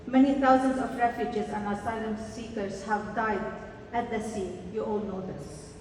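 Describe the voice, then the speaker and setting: A woman from Lebanon delivers a prepared congress speech with a somewhat high voice, earnest and measured, slowing for emphasis. She is picked up from a distance in a large, echoey hall with a long reverb tail and faint noise underneath. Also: fairly even intonation, darkish tone. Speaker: party delegate giving a congress speech.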